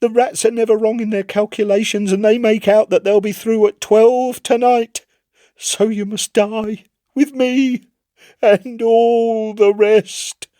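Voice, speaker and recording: A man's voice: high-pitched, flat delivery, slow, dark tone, dry recording, clean studio sound, pitched above the performer's own voice. A man voicing a terrified young ship's carpenter in a storybook reading, pleading desperately and fervently. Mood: frantic doom.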